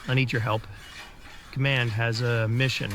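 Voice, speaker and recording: An actor playing a young male ship captain, earnest and halting as he pleads for help, through an AI voice changer. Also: mid-range voice, level tone, a bit bright. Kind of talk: fan audio drama acting.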